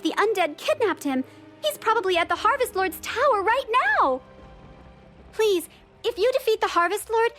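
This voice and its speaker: A woman's voice: extremely high pitch, a bit bright. A woman playing an earnest, emotive young student witch in a video game, with a girlish voice. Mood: alarmed. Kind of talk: video game character acting.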